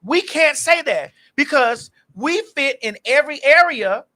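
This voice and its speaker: A woman's voice: high voice, expressive, unhurried, sharp tone, slight background noise. A woman in her forties co-hosting a podcast, speaking, passionate and emphatic, repeating words as she builds a point. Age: 40s. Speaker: podcast co-host. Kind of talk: heated casual chat.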